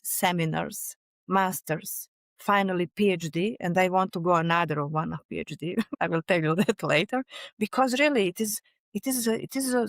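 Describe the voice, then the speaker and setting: A middle-aged woman with a Serbian accent, speaking animatedly in an interview and often pausing to search for words. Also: medium pitch, no echo. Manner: animated, searching for words, frequent "you know". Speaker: guest, fascia researcher and author. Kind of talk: conversational interview.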